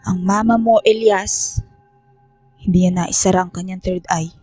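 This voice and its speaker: An actress playing a remorseful, secretive professor with solemn, deliberate delivery in a radio horror drama. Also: female voice, on the low side, fairly even intonation, a little mellow, slightly rough, soft background music. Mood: grave confession. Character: remorseful secretive female professor confessing family guilt.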